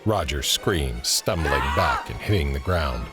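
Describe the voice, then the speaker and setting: A man narrating scene directions in a scripted audio drama, grave and measured. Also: very deep voice, lively intonation, over loud music. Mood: measured, suspenseful.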